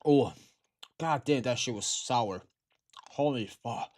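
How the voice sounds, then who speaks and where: A man's voice: medium pitch, slow pace, darkish tone. A tired young man from Taiwan, chatting to viewers on a livestream in slangy, swearing speech. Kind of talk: casual livestream chat.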